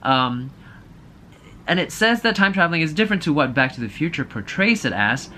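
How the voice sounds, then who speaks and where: A man's voice: fairly high pitch, big pitch swings, fairly quick, sharp tone, a little hiss or hum. A man giving a casual, opinionated movie review, thinking aloud in a hesitant, apologetic way.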